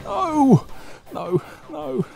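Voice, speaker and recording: A man's voice: extremely high pitch, animated, drawn-out words, gravelly, a little mellow, soft background music, exaggerated voice. A man acting an anxious, impatient young friend in an audio drama, nervous and pleading. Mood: panicked, pleading.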